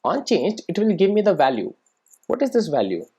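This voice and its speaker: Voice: mid-range voice, wildly swooping pitch, brisk, mellow, breathy edge. A person giving an informal live coding tutorial, thinking aloud and often checking in with "right" and "okay". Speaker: programming tutorial presenter.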